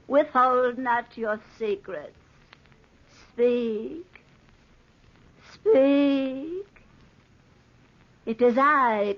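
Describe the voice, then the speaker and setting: An actress playing a haughty countess who holds seances, grand and commanding in old-time radio horror style. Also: female voice, fairly high pitch. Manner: grand, slow, commanding incantation. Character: imperious countess medium, theatrical, haughty, summoning spirits. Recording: dull vintage radio recording.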